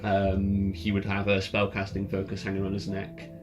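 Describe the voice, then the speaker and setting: A low-pitched man serves as game master at an in-person tabletop session. He narrates off the cuff, halting and rewording as he describes things. Ambient music plays clearly behind his voice. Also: monotone.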